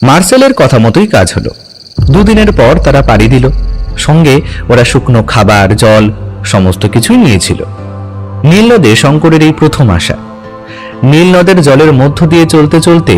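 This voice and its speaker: A man's voice: fairly high pitch, darkish tone. A man narrating adventure fiction as a dramatic, scripted audio story.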